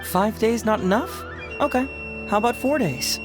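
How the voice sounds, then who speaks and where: A woman's voice: on the high side, expressive, moderate pace, natural voice. A woman voicing a breezy young male detective in a video game, playful, smug and dryly teasing. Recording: music behind the voice.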